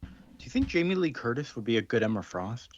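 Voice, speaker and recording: A man co-hosting a podcast in casual chat, playful and quick with jokes. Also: medium pitch, big pitch swings, no room sound. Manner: playful, jokey interjections. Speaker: podcast co-host. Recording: dull dry lo-fi recording.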